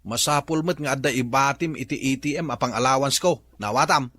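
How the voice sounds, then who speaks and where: A man's voice: mid-range voice, a little fast, pushed and bright. A man playing a stingy, grumbling husband with an Ilocano Filipino accent in a comedy radio drama. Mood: grumbling, insistent.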